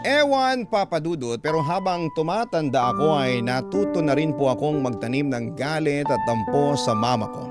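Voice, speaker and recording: A man with a Filipino accent reading a letter aloud as dramatic scripted radio storytelling. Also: medium pitch. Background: background music.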